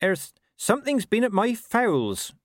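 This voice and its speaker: A man's voice: high voice, wildly swooping pitch, a little slow, no room sound, clean studio sound, pitched above the performer's own voice, exaggerated voice. A man voicing a nervous, grudging hen farmer with rustic country speech, from a storybook. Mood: wary.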